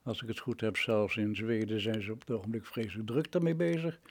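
A man, speaking reflectively and haltingly as an interview guest, often agreeing emphatically. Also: on the low side. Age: nineties, almost a hundred. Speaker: interview guest, retired radio drama director. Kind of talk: reminiscing interview conversation.

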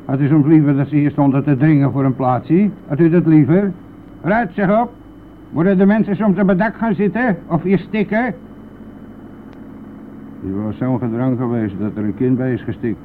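An actor playing a gruff, impatient, talkative country bus driver in a radio play, brusque and easily exasperated. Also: male voice, fairly high pitch, normal speed, lightly acted. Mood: indignant. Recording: noisy, thin, phone-like old radio recording.

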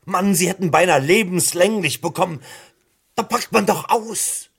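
A man's voice: high-pitched, moderate pace, creaky, a bit bright, breathy edge, exaggerated voice. An actor in a 1970s radio crime play voicing an incredulous, probing police inspector who echoes the answers he gets. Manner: questioning, echoes answers, swings from muttering to exclaiming.

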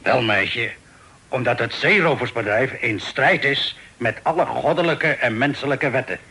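A captive sea captain in a radio play, with a husky, bright voice. He is pious and stubborn, speaking in a folksy, wry storyteller's manner. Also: mid-range voice, measured pace, full character voice. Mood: gentle, resigned. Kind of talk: Dutch radio drama acting.

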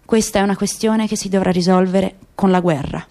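A woman voicing a grave, proud, disillusioned Palestinian father in a radio play, with solemn, deliberate, impassioned speech. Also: medium pitch, fairly even intonation, warm tone, natural voice. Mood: grave resignation.

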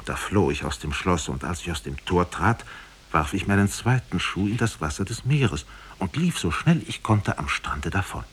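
A deep-voiced man tells a fairy tale in 1950s radio drama style. His delivery is formal and dramatic, with wide swings of intonation and a husky grain. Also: animated, moderate pace, a bit bright. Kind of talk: 1950s radio drama storytelling, scripted narration.

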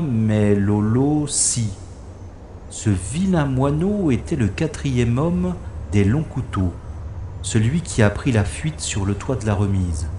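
A man's voice: fairly low pitch, mellow. Guy acting as a cool sardonic secret agent in a sci-fi audio drama, flippant, bit of noise behind. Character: wry, cool-headed male special agent in space.

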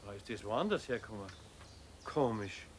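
An actor playing a puzzled, good-natured older neighbour with a homely Bavarian accent in a 1970s children's radio play. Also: male voice, lowish voice, lively intonation, normal speed, noisy background, natural voice.

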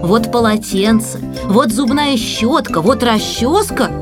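A woman voicing a male artist who teaches children, fussy but kindly, giving measured, matter-of-fact instructions in an audio play. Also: fairly high pitch, over music. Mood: kindly, instructing.

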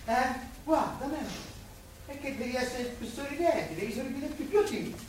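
An actor playing an affectionate, teasing working-class Roman husband of the wartime years in a colloquial stage drama. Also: male voice, very high voice, moderate pace. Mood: coaxing, nostalgic. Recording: very echoey stage, distant, noisy.

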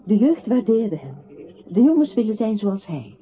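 Female radio drama narrator played by an actress: medium pitch, detached measured recitation, narrow phone-like old recording. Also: very animated, lightly acted. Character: narrator in a poetic, melancholy choral radio play.

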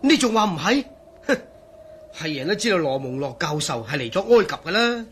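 An actor with a Hong Kong Cantonese accent playing an excitable, sceptical friend in a radio drama, animated and argumentative. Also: male voice, a little high, fairly quick, a little hiss or hum.

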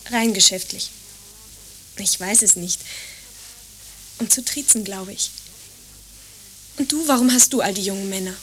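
A young woman playing a quietly evasive lodger in an understated radio drama, giving short, reserved answers. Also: medium pitch, a little slow, long pauses, slight background noise, close to an everyday voice, low-quality recording. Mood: guarded, soft. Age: young adult.

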